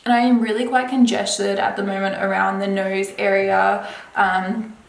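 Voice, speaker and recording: A woman's voice: medium pitch, level tone, moderate pace, creaky. Chatty woman vlogger in an echoey room. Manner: chatty, with many fillers. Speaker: beauty vlogger. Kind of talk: casual product review.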